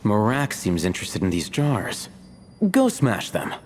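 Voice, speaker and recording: Game role: coolly detached male scholar, lowish voice, analytical, slight ambience behind. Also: very animated, a little slow, a little hiss or hum. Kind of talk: English game dub voice acting.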